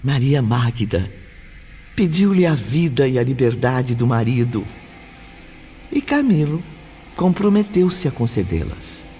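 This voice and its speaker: A man's voice: medium pitch, sing-song, slow pace, pauses often, slight background noise. A man narrating a dramatic audiobook from a script. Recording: muffled audio with loud music.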